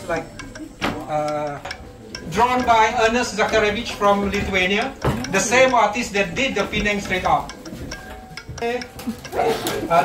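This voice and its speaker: A tour guide with a Malaysian accent explaining things informally, pausing often mid-explanation. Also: mid-range voice. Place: outdoors in a village. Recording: outdoor field recording with background music.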